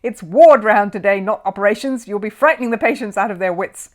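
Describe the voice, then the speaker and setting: A woman's voice: mid-range voice, a little fast, pitched above the performer's own voice, natural voice. A woman voicing an imposing, testy male senior doctor in a children's story, barking and spluttering with pompous sarcasm. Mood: sarcastic, barking.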